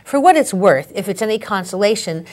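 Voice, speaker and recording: Articulate woman explaining clearly. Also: medium pitch, animated, brisk, darkish tone. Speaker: author and handwriting expert, guest. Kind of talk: interview conversation.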